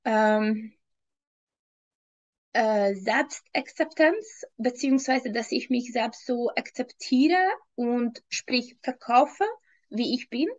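A woman's voice: fairly high pitch, takes it slowly, a little mellow, highs cut off. A woman in her thirties from Hungary with a Hungarian accent, answering interview questions over a video call in a hesitant way, with many ums and frequent laughs. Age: 30s. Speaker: interview guest, fitness trainer. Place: home room.

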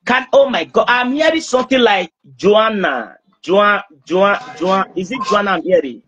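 A young man speaking as a prophet praying over someone on a video call, insistent, halting and repeating himself. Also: high-pitched, lively intonation, a bit bright, muffled recording. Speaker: preacher, self-styled prophet. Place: small indoor room. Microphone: phone at arm's length on video call.

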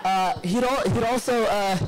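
A hoarse young man in his twenties giving a rambling, joking wedding speech into a handheld mic in a banquet hall. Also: high-pitched, a little slow, some chatter behind. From Yemeni descent. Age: 20s. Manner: rambling, self-deprecating storytelling with many fillers. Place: large banquet hall, indoors. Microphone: handheld microphone.

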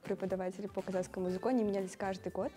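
An eighteen-year-old actress speaking expressively in a studio interview, with a lapel mic. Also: female voice, medium pitch, flat delivery, rapid-fire, mellow, soft background music. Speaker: actress, show participant. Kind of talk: casual interview. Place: studio with grey backdrop.

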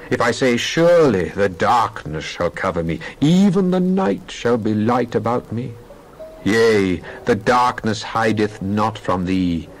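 A man giving a dramatized Bible reading in a solemn, measured way. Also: mid-range voice, poor audio. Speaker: scripture reader.

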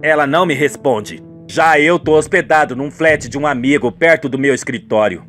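A man's voice: on the high side, normal speed, fairly bright tone, natural voice. A self-serving male lawyer in a radio soap, acted by a male narrator. He speaks tersely and matter-of-factly over background music. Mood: curt, resigned.

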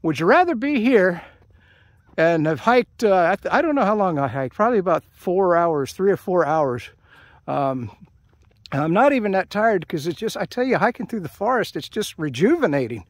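High-pitched older American man, rambling. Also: very animated, no room sound. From United States. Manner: rambling, halting. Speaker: hiking vlogger. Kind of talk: casual rambling outdoor chat. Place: outdoors at a trailhead by open fields. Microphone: handheld camera held by speaker.

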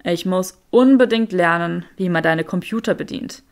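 A young male wizard of an audiobook story, played by a woman, arrogant and teasing. The voice drops low and carries a dry, pompous self-assurance. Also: moderate pace. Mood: demanding, dry.

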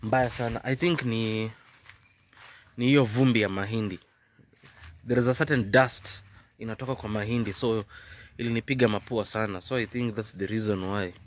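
A man's voice: fairly low pitch, steady tone, normal speed, long pauses. A man in his thirties with a Kenyan accent, talking casually to his phone camera while he has a cold, mixing Swahili and English. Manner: mixes Swahili and English, chatty. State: congested with a cold. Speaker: vlogger. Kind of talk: casual vlog talk to camera. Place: outdoors in a rural yard and indoors kitchen. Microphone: phone at arm's length.

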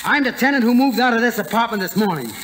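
A man's voice: very high voice, animated, fast talker, fairly bright tone. An actor playing a cheeky, nonchalant working man with a brash, matter-of-fact manner in a 1940s radio comedy. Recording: slightly noisy 1940s radio recording.

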